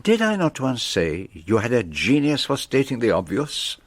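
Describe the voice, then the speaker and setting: An actor playing a proud, shrewd detective with a Belgian French accent in a radio play, speaking formally and precisely. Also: male voice, medium pitch, huge pitch swings, moderate pace. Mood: musing, self-satisfied.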